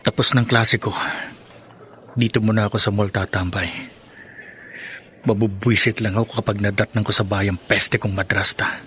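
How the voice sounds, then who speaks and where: A man's voice: lowish voice, steady tone, normal speed, pauses often, pushed and bright, slight background noise. An actor playing a bitter, defiant young man who despises his stepmother in a Filipino radio drama. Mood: resentful, suspicious. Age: young adult.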